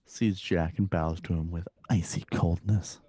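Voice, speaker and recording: A man's voice: low-pitched, steady tone, unhurried, mellow, husky, no room sound. A man reading out stage directions as scripted narration.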